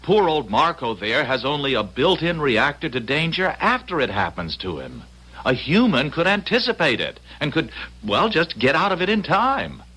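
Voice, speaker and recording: An American actor playing a skeptical, daring younger man in an old-time radio drama, questioning in halting exclamations. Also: a little high, sing-song, pushed and bright, breathy edge, exaggerated voice, rough recording. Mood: eager.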